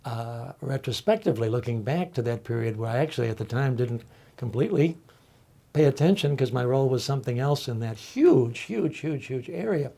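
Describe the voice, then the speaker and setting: Older man, warm and relaxed voice, reflective, pausing with many fillers. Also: mid-range voice, vocal fry. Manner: thoughtful, halting, many ums and uhs. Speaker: panel guest, college faculty member. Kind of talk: reflective panel discussion.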